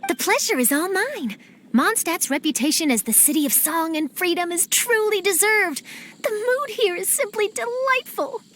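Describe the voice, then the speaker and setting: An actress playing an upbeat, inquisitive young journalist in a video game cutscene. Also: female voice, very high voice, expressive, normal speed, a bit bright, slight background noise. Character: upbeat curious young woman reporter.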